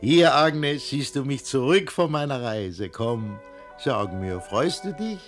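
A pompous blustering master. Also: male voice, medium pitch, lively intonation, slow pace, soft background music. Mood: patronizing. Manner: declaims rhymed verse, blustering shouts at his servants. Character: pompous controlling middle-aged man, vain about his new noble name. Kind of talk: classical verse comedy acting.

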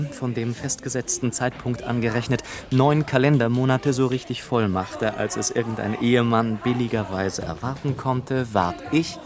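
An actor playing a wry gentleman author who narrates his own life in a mock-solemn, rhetorical manner for a literary radio play. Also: medium pitch, close to an everyday voice.

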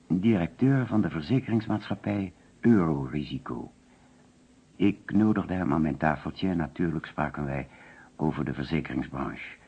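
An actor playing an anxious, courteous insurance agent under suspicion, speaking carefully and formally in a radio detective play. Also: male voice, sits low, creaky, slightly rough, exaggerated voice. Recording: muffled old radio recording.

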